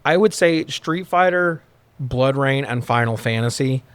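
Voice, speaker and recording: Male co-host, medium pitch, informal and wordy, thinks out loud in casual discussion, very little room sound. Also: measured pace, clean tone, no echo. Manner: rambling, informal, swears casually. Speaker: podcast co-host reviewing movies.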